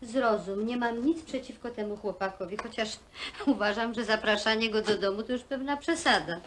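A woman's voice: a little high, moderate pace, a bit bright, natural voice. An actress playing a firm, nagging, protective middle-aged mother in a naturalistic film drama. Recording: old Polish film soundtrack, faint noise.

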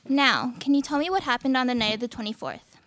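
A woman's voice: sits high, sing-song, fast talker, a bit bright, no echo. A young woman playing a determined detective in a student radio play, with a formal, polite manner. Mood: polite, inquiring. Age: young adult. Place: small indoor studio. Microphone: stand microphone.

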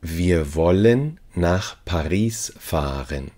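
A man with a low voice reads lesson phrases at a very slow, deliberate pace. He says each short sentence several times, giving the learner time to repeat it. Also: lively intonation, mellow. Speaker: language teacher.

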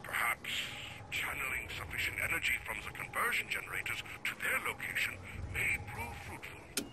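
Grave scientist on crackly radio log. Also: male voice, mid-range voice, wildly swooping pitch. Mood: fascinated, pondering. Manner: formal, measured, dramatic. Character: grave, learned male scientist recording research logs. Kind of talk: scripted video game audio log narration. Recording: noisy old radio-style audio log.

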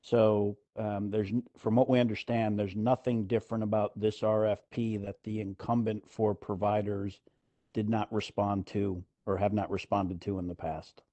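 A man adding information in a video-call board meeting, deliberate and formal. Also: lowish voice, level tone, warm tone, no room sound. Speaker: board member.

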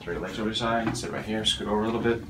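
A man's voice: lowish voice, very fast, very noisy. A middle-aged man, a chiropractor, talking and joking with a patient and the viewers during a session in a small office. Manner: jokey, animated, talks to viewers. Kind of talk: chatty patient session on camera. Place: small office with framed pictures.

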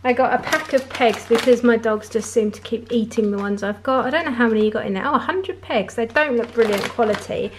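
A woman's voice: fairly high pitch, brisk, hardly any pauses, background noise. A woman, chatting casually through a shopping haul from home, with frequent laughs. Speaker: vlogger. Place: home conservatory, indoors.

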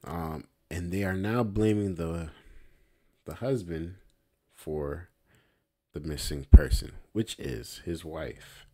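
A young man talks in a deep, dark voice at an unhurried pace. He reacts to a news clip from a small room, drawing out his words and tagging lines with "man". It is casual commentary into a close webcam mic. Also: husky. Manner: slow, drawn-out, repeats "man" often. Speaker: video commentator.

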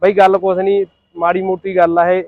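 A man's voice: high-pitched, little pitch movement, fairly quick, highs cut off. A man with a Punjabi accent selling used tractors, talking casually and persuasively in colloquial speech. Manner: persuasive, colloquial, uses rhyming echo words. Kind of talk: casual sales pitch in conversation.

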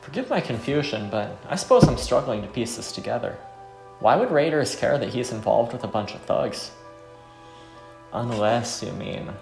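Man reading as a courteous, battle-eager fighter, creaky voice. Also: mid-range voice, animated, normal speed, roomy sound, music in the background. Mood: curious, polite.

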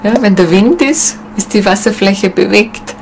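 A woman speaking informally in an interview, reflective, with halting phrasing. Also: medium pitch, gravelly, a little hiss or hum. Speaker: shamanic seminar leader, interviewee.

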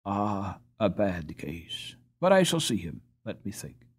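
A man's voice: fairly low pitch, big pitch swings, slow pace, slightly rough, heavily acted. A man voicing a brusque, brooding doctor in a storybook, terse and given to muttering. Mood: brusque, considering. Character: brusque, brooding male doctor, secretly kind.

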